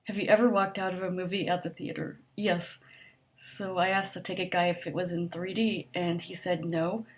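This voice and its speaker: A woman with a quiet voice and a mild stutter, answering survey questions in a hesitant, casual chat. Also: on the low side, fairly even intonation, moderate pace. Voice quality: soft-spoken with a mild stutter. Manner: hesitant, with frequent ums and likes, laughs softly.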